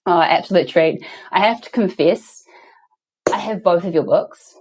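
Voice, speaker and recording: Low-voiced, effusive woman podcast host. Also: a little slow, darkish tone, husky, low-quality recording. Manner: enthusiastic, effusive. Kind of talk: podcast interview hosting.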